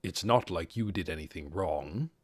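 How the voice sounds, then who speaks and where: A reserved male adventurer in armor as an audiobook narrator acts him out, his voice dropped low. He talks tersely and a little awkwardly, with hesitation, in a dry recording. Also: lively intonation, normal speed, darkish tone, no room sound. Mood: puzzled, reassuring. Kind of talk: audiobook character voice.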